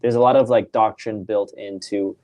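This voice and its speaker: A man's voice: lowish voice, fairly even intonation, normal speed, mellow. A man asking thoughtful, carefully phrased questions in a casual conversation. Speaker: guest.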